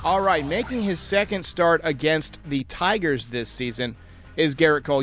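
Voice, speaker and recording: A man hosting a baseball fan show, delivering sports commentary and previews. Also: on the high side. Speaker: host of a baseball fan channel.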